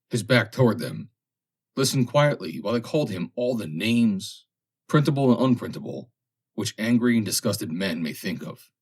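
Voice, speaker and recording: A man's voice: low-pitched, breathy edge. A man reading a story aloud as an audiobook narrator, in a dramatic scripted style.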